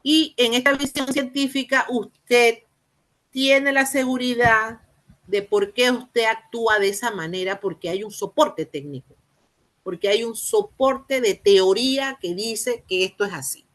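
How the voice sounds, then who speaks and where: A woman giving a spoken lecture on education, explaining slowly with many fillers and restarts and addressing her listeners formally. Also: a little high, fairly bright tone. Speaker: university lecturer.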